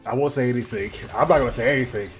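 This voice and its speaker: A man's voice: mid-range voice, rapid-fire, music in the background. A man giving casual, rambling commentary on a game, reacting aloud as it goes. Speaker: gaming video host.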